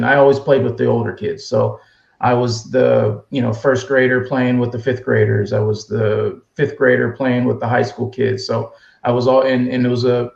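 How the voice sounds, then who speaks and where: A man with a gravelly, creaky voice talks through his story in a relaxed, conversational way. His voice has a dull, lo-fi sound, with a roomy echo around it. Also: medium pitch. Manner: easygoing, storytelling. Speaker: guest. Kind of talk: casual interview answers. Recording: echoey room, dull lo-fi sound.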